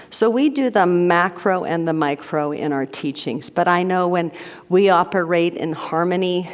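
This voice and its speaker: Low-voiced woman minister in a reverberant sanctuary. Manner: warm, gushing, rambling with repeated "so". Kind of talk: sermon welcome and announcements. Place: church sanctuary.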